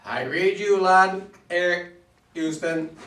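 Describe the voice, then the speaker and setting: A man playing a joking, scatterbrained astronaut in an amateur play reading, with halting, slightly muddled radio talk. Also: high voice, fairly bright tone. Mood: breezy, loose. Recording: echoey room, distant microphone.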